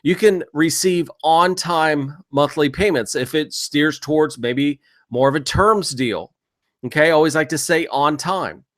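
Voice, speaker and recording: A man's voice: a little high, a little slow. A man giving an instructional sales training talk in a conversational, explanatory way, speaking straight to the listener with emphasis. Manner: conversational, explanatory, acts out short imagined seller exchanges. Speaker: real estate investing instructor.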